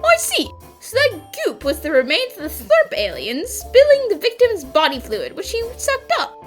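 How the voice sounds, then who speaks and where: A woman voicing an eager, earnest young male detective's assistant in an animated fan dub, polite and excitable. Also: very high voice, normal speed, faint music behind, heavily acted. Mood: impressed. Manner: excitable, polite, wide-eyed.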